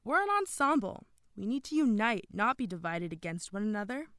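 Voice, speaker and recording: A woman's voice: medium pitch, lively intonation, brisk, clean tone, no echo, clean studio sound, lightly acted. A woman voicing an alarmed, urgent teenage girl in acted storybook dialogue. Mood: urgent, commanding.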